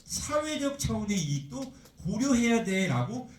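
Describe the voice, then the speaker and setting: Guy teacher lecturing, chatty and animated, asking the class stuff all the time, echoey room, mic a bit far off. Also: high voice, unhurried. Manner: chatty, animated, full of rhetorical questions.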